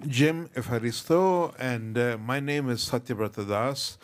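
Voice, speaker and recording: A man giving a formal panel introduction in measured, rhetorical phrases. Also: mid-range voice. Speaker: panelist, think tank director.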